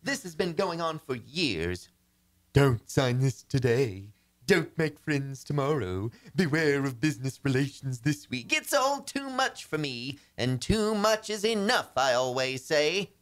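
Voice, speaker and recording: Man doing a flustered henpecked boss type, high worked-up voice, melodramatic pleading, old radio comedy. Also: big pitch swings, a little slow. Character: flustered, henpecked married businessman, fretful, fond of proverbs.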